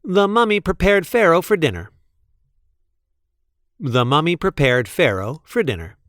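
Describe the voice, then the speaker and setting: Male storyteller for a picture book: lively, swooping intonation, unhurried delivery, playful emphasis, close dry sound. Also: a little high, no echo. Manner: deliberate, playful emphasis on repeated sentences. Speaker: read-aloud storyteller. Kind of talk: expressive picture book read-aloud.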